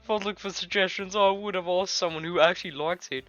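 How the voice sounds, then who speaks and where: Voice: medium pitch, steady tone, fast talker, fairly bright tone, close to an everyday voice. A gamer voicing a feisty, easily offended anime schoolgirl with a snappy, whiny delivery in a visual novel read-aloud. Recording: dull lo-fi mic, music behind.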